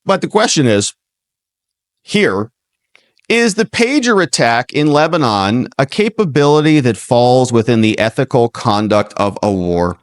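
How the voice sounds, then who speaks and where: A man's voice: medium pitch, very animated, a little slow, no room sound, studio quality. An American man hosting political commentary, opinionated and given to rhetorical questions. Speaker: political commentator and show host. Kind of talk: livestream news commentary over played clips.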